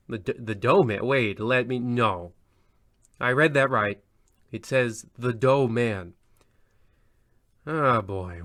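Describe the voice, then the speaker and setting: A man's voice: medium pitch, no room sound, lightly acted. Man as a dry-sounding, pompous radio narrator. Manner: deadpan, pompous, rambling asides. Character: droll, grumbling old-time mystery radio narrator. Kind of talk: scripted narration, parody of old radio mystery.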